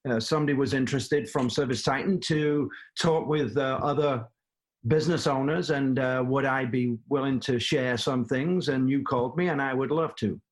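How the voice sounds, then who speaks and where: A man's voice: medium pitch, moderate pace. An older man from England with a Manchester accent, a guest telling his story at length in a casual interview, with many fillers and restarts. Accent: Manchester English. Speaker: guest, former plumbing business owner.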